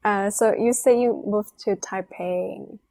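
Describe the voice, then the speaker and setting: A woman's voice: medium pitch, fairly even intonation, slow pace, gravelly, darkish tone, dry recording. A woman hosting a podcast, asking short questions and listening attentively. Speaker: podcast host. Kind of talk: casual interview.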